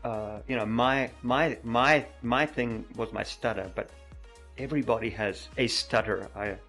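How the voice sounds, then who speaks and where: Middle-aged man, stuttering, repeating words, soft gentle talk, mid-pitched. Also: measured pace, soft background music. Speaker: podcast guest, mountain biker. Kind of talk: casual interview chat.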